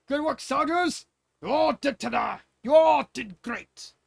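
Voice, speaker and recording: Man putting on a shouting, high-pitched heroic king. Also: measured pace, gravelly, no room sound, heavily acted. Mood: hearty, triumphant. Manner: booming, shouted. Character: brave hearty warrior king bellowing at his army. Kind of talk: animated fan fiction storybook reading.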